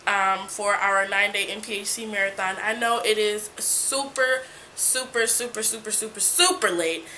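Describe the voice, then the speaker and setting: A woman's voice: mid-range voice, steady tone, normal speed, bright, forceful tone, slight background noise, low-quality recording. A young woman with a congested voice from a cold, telling a casual story to her channel, chatty with many fillers. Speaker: vlogger. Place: small indoor room before a folding screen. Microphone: camera in front, seated at mid distance.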